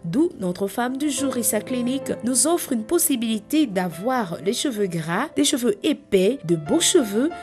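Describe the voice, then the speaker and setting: A woman narrating a television feature in a measured, declamatory way. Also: on the high side, darkish tone. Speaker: reporter narrating a TV segment. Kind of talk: scripted TV narration.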